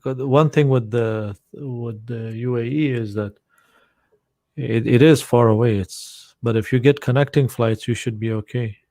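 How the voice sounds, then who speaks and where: A man co-hosting a casual livestream, relaxed and agreeable, reading chat comments aloud. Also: medium pitch, unhurried, no room sound, studio quality. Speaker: livestream co-host.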